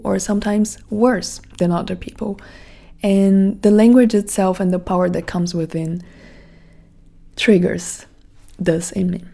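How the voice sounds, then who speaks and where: A woman with a Brazilian Portuguese accent speaks in a relaxed, mellow tone at a middle pitch. She muses aloud as a podcast host, wandering through her thoughts with plenty of "like" fillers. Her delivery is casual and reflective. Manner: reflective, rambling with many "like" fillers. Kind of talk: casual monologue.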